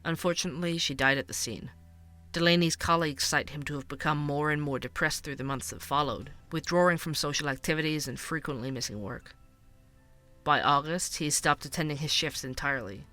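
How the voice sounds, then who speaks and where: A man playing a serious, measured true-crime podcast host in a scripted audio drama, narrating and asking questions. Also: on the high side, sharp tone. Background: background music.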